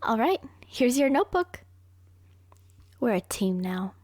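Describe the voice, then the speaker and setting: A woman's voice: on the high side, sing-song, unhurried, darkish tone, no echo, voice pushed up. A woman playing a smug, brilliant, manipulative young serial killer girlfriend in an anime roleplay, boastful and coaxing. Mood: sweetly manipulative. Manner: boastful, coaxing, self-satisfied.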